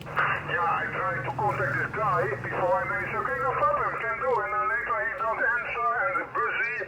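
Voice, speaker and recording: Very loud radio noise surrounds a high-pitched man chatting as an amateur operator. He speaks informally and haltingly, with non-native English phrasing. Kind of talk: casual two-way radio chat. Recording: very noisy two-way radio reception.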